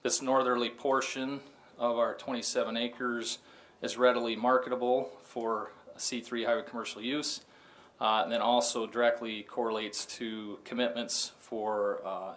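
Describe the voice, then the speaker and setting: An American man, a public works director, delivering a formal staff report in a halting, matter-of-fact manner. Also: fairly low pitch, fairly bright tone.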